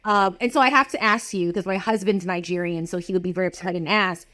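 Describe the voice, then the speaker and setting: A woman's voice: lowish voice, steady tone, quick pace, fairly bright tone, no room sound. Upbeat woman hosting an interview. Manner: upbeat, emphatic, asks direct questions. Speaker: podcast host. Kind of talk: casual interview chat.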